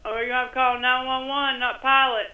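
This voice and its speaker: A woman's voice: high voice, level tone, normal speed. A woman with a rural Southern Indiana accent answering a store phone in a curt, plain-spoken way. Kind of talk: phone customer service. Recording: thin, echoing phone line.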